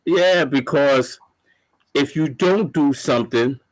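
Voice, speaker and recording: A man in a casual chat who speaks haltingly and restarts mid-sentence. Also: on the high side, rough recording.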